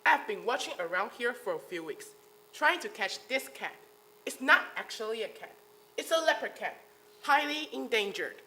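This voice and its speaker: A woman's voice: a little high, expressive, normal speed, sharp tone, slight background noise, poor audio. A female performer voicing a big burly man, mocking but kindly, in a dramatic readers theater style. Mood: friendly, confiding.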